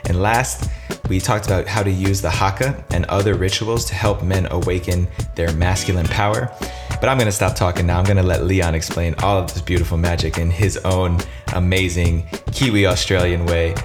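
A man with a very low, deep voice hosts a podcast with earnest enthusiasm, heaping praise on his guest. Music plays clearly beneath his words. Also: fairly even intonation, moderate pace. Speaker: podcast host. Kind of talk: podcast intro and interview.

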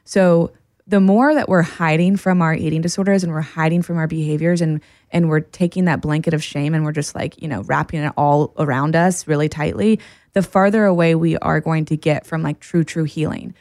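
A woman in her thirties with a slightly low voice makes earnest, encouraging points in a podcast chat. She often speeds up when she explains something. The recording is dry and close. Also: steady tone, fairly quick, darkish tone, dry recording. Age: 30s. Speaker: podcast host. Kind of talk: casual podcast conversation.

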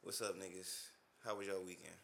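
Young philly guy, bright sharp voice, slangy and hyped. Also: deep voice, level tone, a little fast, creaky. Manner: slangy, hype shout-outs. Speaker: podcast co-host. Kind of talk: casual chat.

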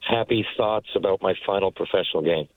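A man's voice: sits low, brisk, no echo, poor audio. A man in his forties from Newfoundland, a guest who talks in a heartfelt, rambling way with many restarts. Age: 40s. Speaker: guest, retired hockey player. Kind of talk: casual interview talk.

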